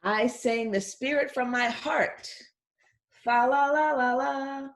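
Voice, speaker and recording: A woman's voice: high-pitched, slow, a little hiss or hum, pitched above the performer's own voice. A woman voicing a joyful little girl from a Christmas storybook, drawing out her words and singsonging the sound effects.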